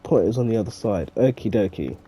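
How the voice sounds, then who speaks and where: A man with a congested voice from a cold, giving relaxed casual commentary while playing a video game. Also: on the low side, a little fast, husky. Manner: relaxed, talks to himself. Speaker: gaming streamer.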